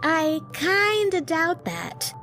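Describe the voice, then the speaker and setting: A woman's voice: very high-pitched, very animated, drawn-out words, pushed and bright, soft background music, full character voice. A woman playing a brash, restless young friend in an audio drama, slangy, blunt and playfully dramatic. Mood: excited.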